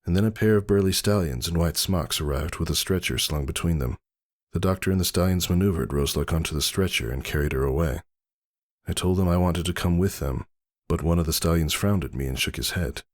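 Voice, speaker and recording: A man narrating a first-person story dramatically, in a brooding, measured and theatrical delivery. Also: extremely low pitch, darkish tone. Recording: music behind the voice. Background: music.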